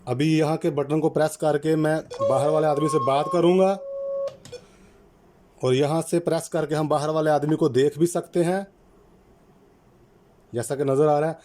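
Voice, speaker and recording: Higher-pitched man giving step-by-step instructions. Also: fairly even intonation, moderate pace, long pauses, darkish tone, slight background noise. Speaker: electrician presenting a how-to channel. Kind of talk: step-by-step product installation tutorial.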